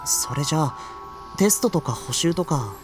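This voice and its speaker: An actor playing a gentle, considerate schoolboy in a visual novel, talking in a mild, polite way. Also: male voice, fairly high pitch, expressive, quick pace, music in the background, lightly acted. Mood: mild concern.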